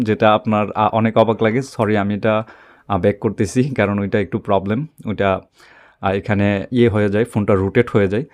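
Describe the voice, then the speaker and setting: Man giving a halting app tutorial. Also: lowish voice, a little mellow. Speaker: tech tutorial host.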